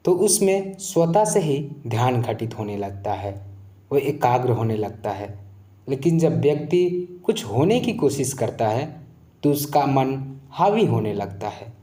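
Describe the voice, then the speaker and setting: A man giving a scripted motivational spiritual narration in a measured, reflective, explanatory way. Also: medium pitch, lively intonation, warm tone, reverberant. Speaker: narrator of moral story channel.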